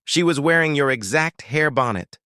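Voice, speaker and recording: A man's voice: fairly high pitch, expressive, normal speed, bright, forceful tone, smooth, no room sound, studio quality, pitched above the performer's own voice. A man with a Nigerian accent voicing a suspicious, gossiping young female student in a story, pointed and insistent. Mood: accusing.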